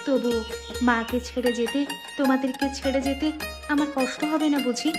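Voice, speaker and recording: A woman acts out a young village bride in an animated folk tale. She is shy, anxious and sweetly affectionate, with a highish voice. Music is clearly heard underneath. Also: moderate pace, natural voice. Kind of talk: animated moral-story voice acting.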